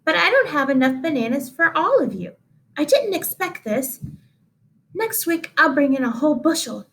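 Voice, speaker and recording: A woman's voice: high-pitched, big pitch swings, normal speed, gravelly, fairly bright tone, slight background noise, voice pushed up, heavily acted. A woman voicing a nice but flustered schoolteacher in a storybook, exclaiming emphatically. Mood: apologetic, sweet.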